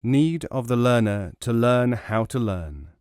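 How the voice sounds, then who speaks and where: A man reading an essay as a scripted audiobook narration, in a measured, formal way. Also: mid-range voice, expressive, darkish tone, clear, resonant tone, no echo, studio quality.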